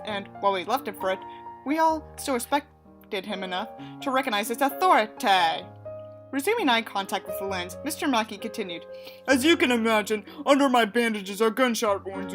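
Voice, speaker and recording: Bright, high-voiced woman reading with sarcasm. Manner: sarcastic, exasperated, emphatic. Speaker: audiobook reader and commentator. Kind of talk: reading a book aloud with mocking asides.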